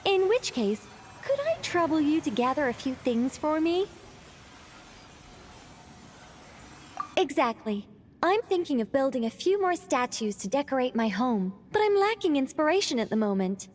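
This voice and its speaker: A self-important mage girl from a game, high voice, swoops around, dramatic storyteller vibe. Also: big pitch swings, moderate pace, a little mellow, a little hiss or hum. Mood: musing, polite. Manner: theatrical, musing, grandly commanding. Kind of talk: video game voice acting.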